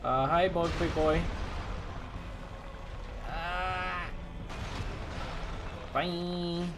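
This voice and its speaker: A man's voice: high voice, steady tone, drawn-out words, music in the background. A young man streaming his first run of a game, chatting casually and joking at his own expense. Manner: playful, jokey, self-mocking. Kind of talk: casual gameplay commentary.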